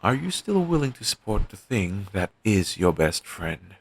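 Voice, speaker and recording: A man playing a pessimistic zombie best friend with dark sarcasm, speaking haltingly in an intimate audio roleplay. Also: fairly low pitch, big pitch swings, breathy edge, exaggerated voice. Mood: wary, self-loathing. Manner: halting, sighing, sardonic delivery with trailing hesitations.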